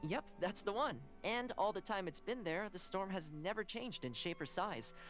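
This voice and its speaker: Young household envoy, friendly, courteous, explanatory, animated intonation, game dialogue. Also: male voice, sits high, normal speed. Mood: concerned. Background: soft game music.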